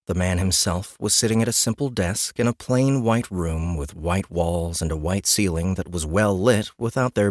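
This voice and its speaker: A man narrating a scripted fantasy audiobook in an expressive storytelling manner. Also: deep voice, no echo, clean studio sound. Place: dry recording booth.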